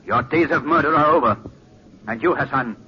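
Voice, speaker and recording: An actor playing an eerie unseen avenger in a 1940s radio drama, mocking and commanding. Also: male voice, medium pitch, a little fast, close to an everyday voice. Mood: ominous, mocking. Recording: muffled 1940s radio recording, faint noise.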